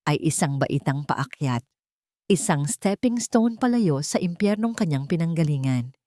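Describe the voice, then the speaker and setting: Lowish-voiced woman narrating dramatic romance. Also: warm tone, no echo, clean studio sound. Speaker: story narrator.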